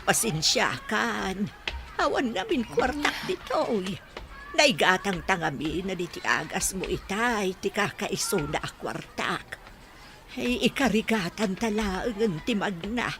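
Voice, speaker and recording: A woman's voice: mid-range voice, animated, a little slow, background noise. A woman playing a poor, weary and apologetic old grandmother in a radio drama. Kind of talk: Ilocano radio drama acting.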